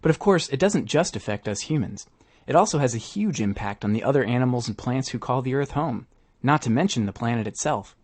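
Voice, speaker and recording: A man's voice: medium pitch, animated, a little fast, a little mellow, no echo. Man hosting a podcast, very dry sound. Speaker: podcast host. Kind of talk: scripted narration.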